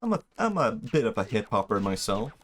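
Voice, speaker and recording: Low-voiced Swedish streamer, rambling chat. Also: male voice, big pitch swings, a little fast, fairly bright tone, slight background noise. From Sweden. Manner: rambling, self-deprecating, laughs often. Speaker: game streamer. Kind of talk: casual live-stream chat while gaming.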